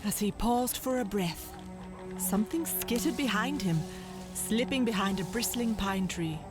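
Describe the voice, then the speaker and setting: A woman narrates a classic-style storybook audio drama. Her delivery is measured and dramatic, with a mid-range pitch, and music plays clearly underneath.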